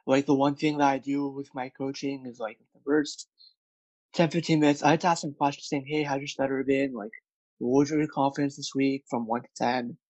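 A man's voice: medium pitch, fairly even intonation, fairly quick, a little mellow, dry recording, muffled recording. A man who stutters, with repeated words and many fillers, speaking candidly as a guest about his work as a speech coach. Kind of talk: candid interview conversation.

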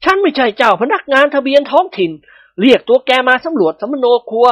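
A woman voicing a stern, easily riled older male nobleman who barks and shouts in bursts. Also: high voice, lively intonation, quick pace, no echo, highs cut off, pitched above the performer's own voice. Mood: gruff. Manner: gruff barking, shouting in bursts.

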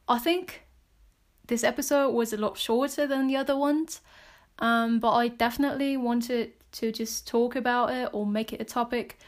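A woman with a British accent hosting a casual solo podcast, chatting in a rambling way with many fillers and soft laughs. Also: on the high side. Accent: British English. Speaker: podcast host.

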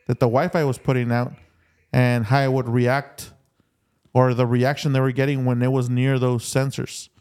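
A man's voice: mid-range voice, darkish tone, smooth. A man in a casual chat, explaining things as a podcast co-host and restating his points for emphasis.

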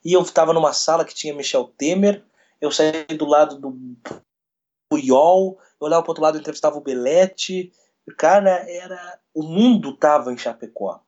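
A radio sports journalist tells his story in an interview. His voice sits a bit high and swings widely as he hurries through the events, acting out what people said. The recording sounds dull and lo-fi. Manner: fast, lively retelling with quoted dialogue.

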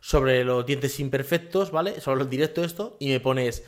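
A man hosting a solo podcast from his home office. His voice sits at an ordinary middle pitch. He talks loosely and casually, jumping between thoughts with fillers and frequent tag questions. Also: normal speed. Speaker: podcast host.

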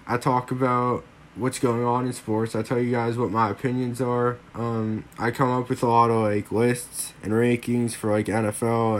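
Rambling young man, flat voice. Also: medium pitch. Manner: rambling, lots of "like" and "um", restarts. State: getting over a cold. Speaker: sports podcast host. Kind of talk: casual solo podcast chat.

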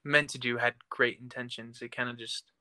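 Young man, bright forward voice, casual podcast co-host, conversational, very dry sound. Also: medium pitch, little pitch movement, normal speed, no room sound. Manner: informal, conversational. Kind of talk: casual TV discussion.

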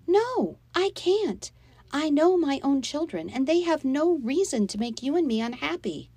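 Woman acting a high-voiced, upset mother. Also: sing-song, measured pace, mellow, no room sound. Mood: indignant. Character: upset, protective mother defending her children.

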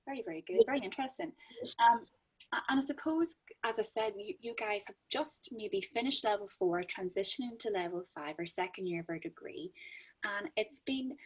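A woman's voice: medium pitch, fairly quick, slightly rough, rough recording. A woman lecturer hosting a casual podcast chat with students, often repeating words as she thinks.

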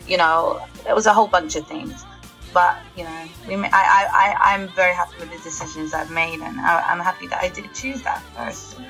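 A woman's voice: on the low side, steady tone, brisk, a bit bright, soft background music, low-quality recording. A young woman, a singer chatting casually as an interview guest, with lots of fillers and laughs. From England. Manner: chatty, laughs often, many fillers and restarts.

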